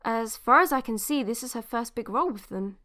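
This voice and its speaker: A woman's voice: on the high side, fairly quick, pushed and bright. An actress playing a matter-of-fact, reassuring woman informant in an audio drama.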